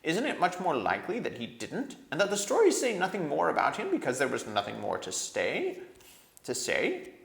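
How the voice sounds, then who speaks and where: Casting: male reader playing an angry, cunning fantasy dwarf; biting, distinct delivery, raised pitch, lively swings. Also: vocal fry, roomy sound, heavily acted. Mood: scornful arguing. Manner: slow, distinct, cold, rising to shouting.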